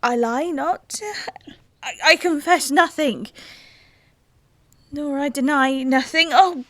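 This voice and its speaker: A woman playing a proud, sharp-witted young noblewoman in a Shakespearean radio play, halting and emotional, guardedly in love. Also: high voice, no echo. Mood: flustered love. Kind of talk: Shakespearean radio drama acting.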